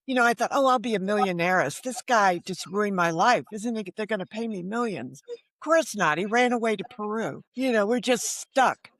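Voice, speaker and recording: Older woman with a gravelly, creaky voice. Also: medium pitch, animated, moderate pace, a bit bright, no echo. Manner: frank, self-deprecating, wry; quotes dialogue while telling stories. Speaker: podcast guest, novelist. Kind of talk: candid personal storytelling in an interview.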